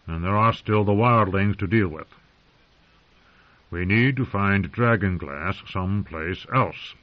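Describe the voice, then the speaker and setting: A man's voice: low voice, a little slow. A man voicing a stern, impatient old male commander who growls and scorns. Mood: gruff. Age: older. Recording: muffled lo-fi recording.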